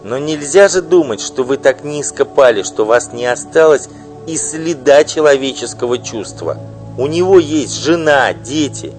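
A man voicing an indignant wronged wife who pleads and accuses in a high, loud voice for an audiobook. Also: lively intonation, measured pace, faint music behind. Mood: pleading. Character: indignant wronged wife, middle-aged woman. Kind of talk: audiobook reading.

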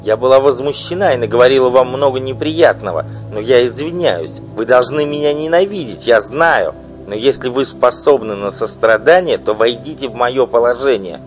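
Male reader playing a high, loud, furious wife in a storybook, faint music behind. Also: expressive, measured pace. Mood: pleading. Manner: shrill, pleading and accusing. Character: indignant wronged wife, middle-aged woman. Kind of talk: audiobook reading.

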